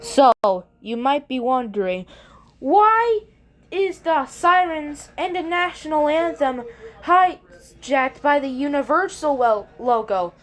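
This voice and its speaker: A woman's voice: high voice, animated, slow pace. A woman hosting her own video, talking casually to viewers in a rambling, hesitant way and often correcting herself. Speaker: video creator and host. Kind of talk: casual intro and commentary to viewers.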